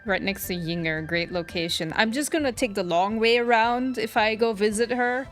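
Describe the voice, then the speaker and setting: A woman's voice: mid-range voice, moderate pace. A woman streaming a game, giving casual live reactions and commentary. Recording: game music behind the voice.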